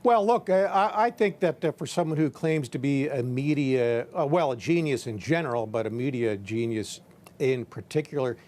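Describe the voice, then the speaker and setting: A man's voice: mid-range voice, normal speed, a little mellow. A man commenting on politics as a guest in a live news discussion.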